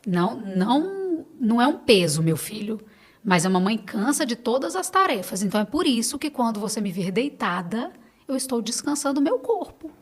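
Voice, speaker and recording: A woman's voice: fairly high pitch, sing-song, normal speed, breathy edge. A middle-aged Brazilian woman talking casually as a podcast guest, telling stories and quoting conversations as she goes.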